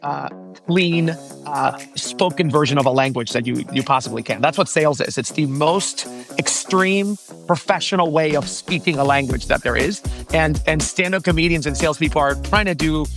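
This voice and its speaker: A young man from the Dominican Republic, a founder being interviewed, talking in an animated way with frequent laughs and restarts. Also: fairly high pitch, moderate pace, vocal fry, faint music behind. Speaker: startup founder, guest. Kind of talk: casual interview chat.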